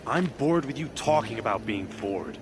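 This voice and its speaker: An actor playing an irritable, bickering guard in a video game. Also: male voice, mid-range voice, animated, moderate pace, background noise, lightly acted. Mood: irritated, bickering.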